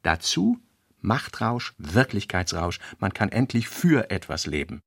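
A man reading an author's fragmentary working notes for a radio play, slowly and deliberately. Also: fairly low pitch, sing-song, creaky, slightly rough, dry recording, clean studio sound. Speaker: reader.

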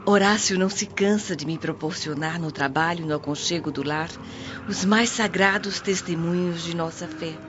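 Radio drama role: kindly devout peasant woman voiced by an actress, gentle, slightly deep, muffled recording. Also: moderate pace, background noise, lightly acted. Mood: tender, consoling. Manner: gentle, motherly, earnest. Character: kindly, pious, humble Roman washerwoman. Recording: dull lo-fi audio with music behind.

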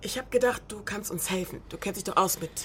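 A woman's voice: mid-range voice, fast, sharp tone, faint music behind, close to an everyday voice. An actress playing a direct, insistent young woman in a radio drama, with faint background noise. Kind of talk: naturalistic radio drama acting.

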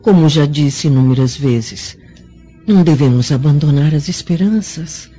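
An actor with a Brazilian Portuguese accent playing a pious, reassuring Roman family friend in a radio drama, in a formal, consoling manner. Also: male voice, on the high side, unhurried, mellow, breathy edge. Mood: hopeful, consoling. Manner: formal, theatrical, consoling delivery. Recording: muffled radio drama recording with music.

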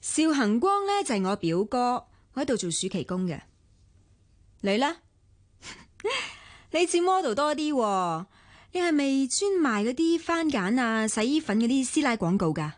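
Radio drama: a teasing teen summer worker, Hong Kong accent, very animated, high-pitched, brash and mocking. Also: female voice, measured pace. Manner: pert, teasing. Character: cheeky, mocking teenage girl, a student summer worker.

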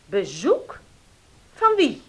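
A nagging mother in a radio drama, pitched extremely high. She scolds and bosses like a penny-pinching housewife who rules the home. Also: huge pitch swings, drawn-out words, breathy edge, full character voice. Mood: scolding. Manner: scolding, domineering. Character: shrill, nagging, money-minded middle-aged mother and wife. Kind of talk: Dutch radio play acting.

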